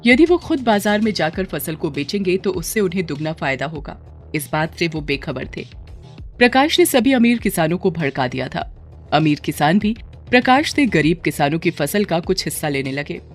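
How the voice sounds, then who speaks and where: A woman's voice: lowish voice, big pitch swings, normal speed, soft background music. A woman narrating a scripted moral story as its storyteller.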